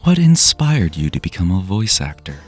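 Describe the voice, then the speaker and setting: A man's voice: low voice, sing-song, normal speed, a little mellow, faint music behind. A man reading out scripted interview questions as the interviewer.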